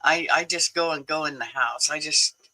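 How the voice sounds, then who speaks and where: A man's voice: on the high side, moderate pace, pushed and bright, low-quality recording. A man in his sixties hosting a casual livestream, chatty and laughing often as he answers his viewers. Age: 60s. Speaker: livestream host.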